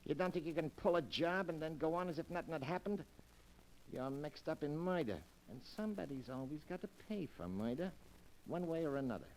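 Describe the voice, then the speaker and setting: An actor playing a callous, menacing small-time burglar who talks in tough slang and clipped commands, in a 1940s radio crime drama. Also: male voice, on the high side, lively intonation, brisk, darkish tone. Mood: threatening. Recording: noisy vintage 1940s radio recording.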